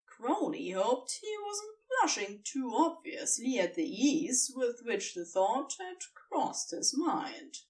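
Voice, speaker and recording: Female narrator for fan fiction. Lively intonation, unhurried pace, expressive storytelling, a little off-mic. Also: a little high, distant mic.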